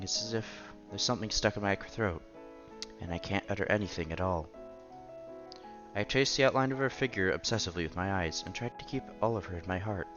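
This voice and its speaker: Guy reading visual novel lines out loud, kinda deep, expressive, bit muffled, soft music in back. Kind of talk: expressive read-aloud of story narration and dialogue. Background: game music.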